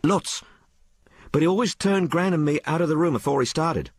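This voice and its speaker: Man voicing a high, rough-spoken working-class young maid. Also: normal speed, dry recording, studio quality. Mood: frank, gossipy. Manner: colloquial, ungrammatical, blurting storytelling. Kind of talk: audiobook character dialogue.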